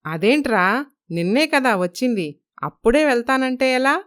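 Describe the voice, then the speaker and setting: A woman playing an older, possessive mother in an animated moral story, martyred and reluctant in manner. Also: a little high, normal speed, clear, resonant tone, close to an everyday voice.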